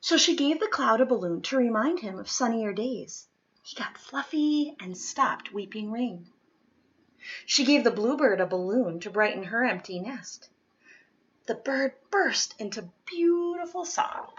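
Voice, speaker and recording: A woman reading a children's picture book aloud in an expressive, dramatic way, leaning on key words. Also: a little high, muffled recording. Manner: dramatic, deliberate storytelling with stressed key words. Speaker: storybook reader.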